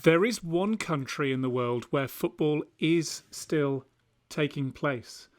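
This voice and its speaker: A man's voice: fairly high pitch, slow pace, no room sound, clean studio sound. A British man chatting casually as a podcast host. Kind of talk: casual chat.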